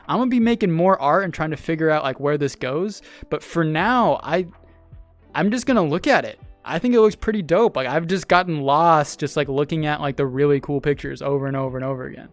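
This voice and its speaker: A man hosting a casual livestream, chatting with viewers and thanking new followers. He laughs often, repeats himself, and has a voice he says is made for radio and sales. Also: on the high side. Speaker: streamer and podcast host. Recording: dry close mic with faint music behind.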